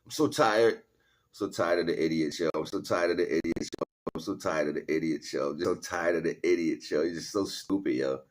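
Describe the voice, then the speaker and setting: Very deep, husky-voiced man on a livestream. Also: a little fast. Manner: slangy, repeats phrases for emphasis. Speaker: livestream host and spiritual teacher. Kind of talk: casual livestream monologue, preaching to viewers.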